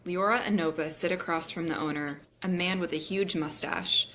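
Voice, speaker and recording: A woman's voice: fairly low pitch, steady tone, moderate pace, fairly bright tone, a little hiss or hum. A woman reading the stage directions of a script aloud as scripted narration at a table read.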